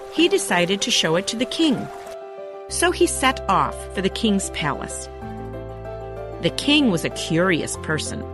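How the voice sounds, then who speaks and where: A woman reading a scripted children's story as a narrator, in an expressive, deliberate storybook manner. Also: medium pitch. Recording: music behind the narration. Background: background music.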